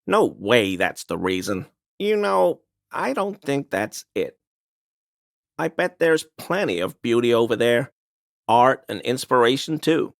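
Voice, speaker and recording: A man's voice: mid-range voice, vocal fry, dry recording, studio quality, heavily acted. An actor playing a smug, conspiracy-minded man in a video game, speaking in an insistent, knowing, insinuating way. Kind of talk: video game dialogue voice lines.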